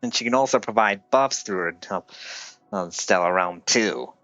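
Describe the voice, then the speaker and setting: A man live-streams a game with music playing faintly behind him. He talks casually and gives advice, often halting and feeling for his words. The sound is dry and slightly dull. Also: fairly low pitch, fairly bright tone, dry recording. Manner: hesitant, halting delivery.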